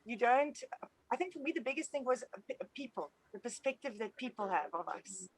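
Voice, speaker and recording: This is a woman whose first language is Afrikaans, speaking in a slightly high voice. She is a mother answering interview questions, earnest and grateful, and she often restarts her sentences. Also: animated, a little fast, husky, rough recording. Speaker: homeschooling traveling mother.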